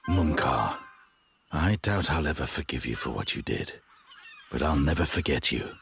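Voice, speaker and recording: A man voicing a grave, honourable, regretful warrior in a video game, earnest and measured. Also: rumbling low, lively intonation, creaky, background noise, exaggerated voice. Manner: earnest, measured, formal, brooding. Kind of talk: dramatic video game voice acting.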